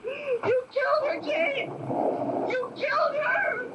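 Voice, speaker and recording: A woman's voice: very high voice, fairly even intonation, takes it slowly, lo-fi sound. An actress playing a bitter, accusing young woman with a split self in a 1980s radio drama, with intense, theatrical delivery. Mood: accusing, frenzied. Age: young adult. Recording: noisy 1980s AM radio broadcast.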